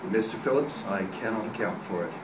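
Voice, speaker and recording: A man playing a measured, skeptical astronomy professor, reading a 1930s radio play from a script. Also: on the low side, natural voice. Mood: measured, dismissive. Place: hotel meeting room at a convention panel. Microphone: camera across the room. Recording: echoey noisy room, distant camera mic.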